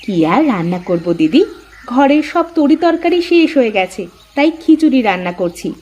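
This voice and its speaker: A woman's voice: high voice, big pitch swings, normal speed, darkish tone. A woman voicing a dutiful young village daughter-in-law who stands her ground, in a Bengali cartoon story.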